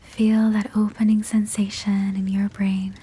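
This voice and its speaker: A woman whispers a guided sleep hypnosis in a very breathy, husky tone. Her delivery is slow and almost completely level in pitch. She phrases everything gently and soothingly, like a bedtime talk-down. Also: little pitch movement.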